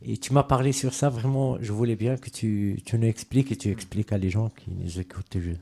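Mellow, dark-voiced man hosting radio. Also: on the low side, fairly even intonation, brisk, gravelly, slightly rough, dry recording. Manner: hesitant, loose phrasing with fillers. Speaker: radio host. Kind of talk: radio interview.

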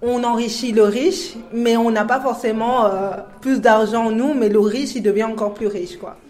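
A woman answering as a street interviewee, halting and searching for words. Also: on the high side, fairly even intonation, a little mellow.

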